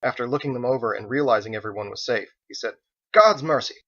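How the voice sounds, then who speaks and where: A man's voice: medium pitch, fast, fairly bright tone. Man narrating fantasy, slightly dull sound. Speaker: author reading own audiobook. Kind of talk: expressive scripted narration of a fantasy novel.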